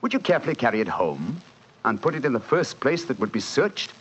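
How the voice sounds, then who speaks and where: A man acting a brilliant, self-assured English detective in a 1940s radio drama, lecturing crisply and logically in a British accent. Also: mid-range voice, sing-song, fairly quick. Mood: insistent reasoning. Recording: dull, hissy 1940s radio recording.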